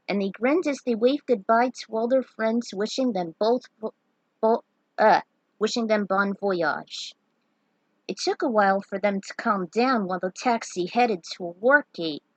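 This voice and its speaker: A woman reading her own fan fiction aloud as a storyteller, earnest and unhurried, with small stumbles. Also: a little high, no echo, rough recording.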